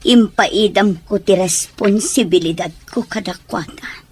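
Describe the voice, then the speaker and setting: A woman's voice: medium pitch, a little slow, husky. A woman playing an ailing, dependent middle-aged mother in an audio drama, speaking plaintively. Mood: regretful, helpless. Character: ailing, dependent mother worried about dialysis. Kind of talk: Ilocano audio drama acting.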